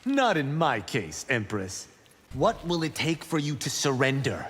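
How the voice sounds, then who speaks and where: A man's voice: mid-range voice, very animated, a little slow, fairly bright tone, husky, exaggerated voice. A man playing a brash, self-assured young monk fighter in dramatic video game dialogue. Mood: cocky, challenging. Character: cocky, quick-witted young male monk fighter. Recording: long reverberant hall echo.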